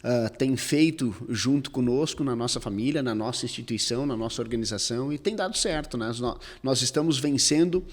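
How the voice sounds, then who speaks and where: Co-host, middle-aged man, mid-range voice, earnest and measured, long hall reverb. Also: medium pitch. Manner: earnest, measured, uses metaphors of sowing. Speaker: podcast co-host. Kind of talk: casual podcast conversation.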